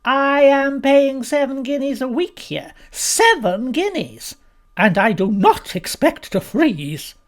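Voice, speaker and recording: Woman as haughty older female guest, storybook character: high, swooping, indignant, emphatic. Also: animated. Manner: emphatic, indignant, drawn-out stressed words.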